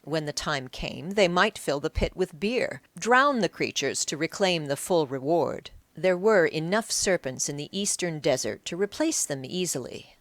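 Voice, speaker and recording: A woman's voice: low voice, animated, unhurried, hardly any pauses, dry recording. A woman narrating an audiobook in a dramatic, scripted storytelling style.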